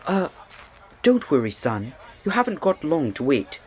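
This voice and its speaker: A man playing a reassuring, helpful gentleman in an audio drama. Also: on the high side, very animated, measured pace. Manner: reassuring, informative. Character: reassuring man waiting in line, addressing boy.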